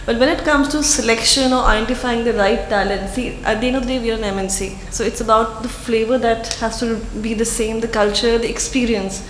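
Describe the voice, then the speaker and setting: A woman's voice: fairly high pitch, steady tone, brisk, few pauses, reverberant, slight background noise, low-quality recording. A woman, speaking for a retail brand on a panel in a structured, businesslike way. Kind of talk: panel discussion.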